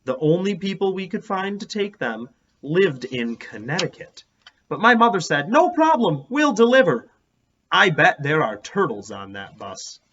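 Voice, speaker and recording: A man's voice: sits high, very animated, unhurried, a bit bright, muffled recording, pitched above the performer's own voice, heavily acted. A man playing a chatty young schoolboy in a children's storybook read-aloud. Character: chatty young schoolboy griping about bad smells.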